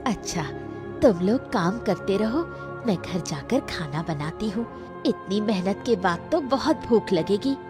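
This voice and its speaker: A woman's voice: medium pitch, animated, a little slow, over music, lightly acted. A woman voicing a supportive village sister-in-law with a grudge in a Hindi cartoon, emphatic and encouraging. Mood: caring. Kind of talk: Hindi cartoon story dialogue.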